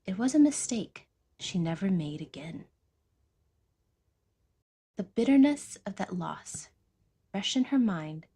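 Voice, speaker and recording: A woman's voice: fairly low pitch, dark tone, slightly rough. A woman reading a science fiction story as a scripted audiobook narration.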